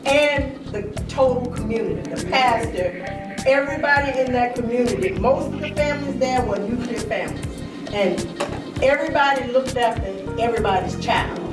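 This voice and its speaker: A woman's voice: fairly high pitch, moderate pace. A woman in her fifties from rural Mississippi telling personal stories in a group discussion, repeating phrases for emphasis. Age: 50s. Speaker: discussion participant. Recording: echoey room, distant mic, music.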